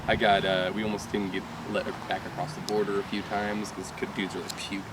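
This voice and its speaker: A young man from Michigan with a lowish voice tells loose, rambling stories, laughing and restarting as he goes. He stands a little off from the mic, and loud street traffic roars around him outdoors. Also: little pitch movement, fairly quick, distant mic. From Michigan, USA. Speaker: rock band singer. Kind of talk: casual interview chat.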